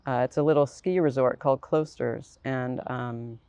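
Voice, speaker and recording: A woman's voice: very deep voice, fairly even intonation, normal speed, mellow, smooth, dry recording. A woman guest author speaking thoughtfully in a panel discussion, telling stories in an articulate, reflective way.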